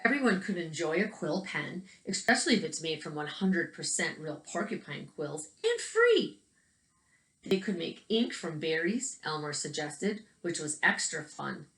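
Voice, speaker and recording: A woman with a fairly low voice reads a picture book aloud in an echoey room. She tells the story expressively and adds little asides for young listeners. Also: big pitch swings, fairly bright tone. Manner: pauses to comment on pictures as to young children. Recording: roomy, echoing indoor recording.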